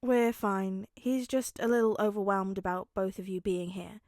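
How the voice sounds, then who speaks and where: A woman voicing a calm, reassuring teenage boy in a fan fiction audiobook, low-key and matter-of-fact. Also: moderate pace, no echo, clean studio sound, close to an everyday voice.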